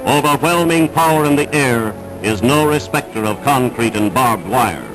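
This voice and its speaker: A man's voice: mid-range voice, animated, normal speed, rough recording. A man reading a wartime newsreel script in a formal, emphatic, declamatory 1940s style. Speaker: newsreel narrator. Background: dramatic newsreel music.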